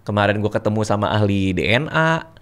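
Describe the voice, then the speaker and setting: A man in his forties hosting a casual chat, relaxed and slangy, repeating words for emphasis. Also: lowish voice, a little fast. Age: 40s. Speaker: host.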